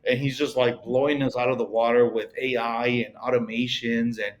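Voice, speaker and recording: A man's voice: medium pitch, flat delivery, normal speed, dull audio. A middle-aged man with a Mexican accent, a podcast guest talking casually and rambling, with many "you know" and "like" fillers. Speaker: podcast guest, real estate broker. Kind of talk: casual interview conversation.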